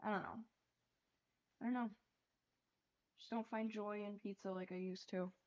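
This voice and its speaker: A young woman hosting a casual livestream from her bedroom, chatting freely and swearing as she paints, with a voice that is getting hoarse. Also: mid-range voice, fairly even intonation, moderate pace, a little mellow, lo-fi sound. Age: young adult. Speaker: livestream host. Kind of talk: casual chat while painting cards. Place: small bedroom. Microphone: lapel mic.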